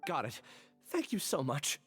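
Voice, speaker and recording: A man's voice: a little high, wildly swooping pitch, unhurried, a bit bright, faint music behind, exaggerated voice. A man playing an anxious, apologetic young student in a video game, hesitant and earnest. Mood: grateful.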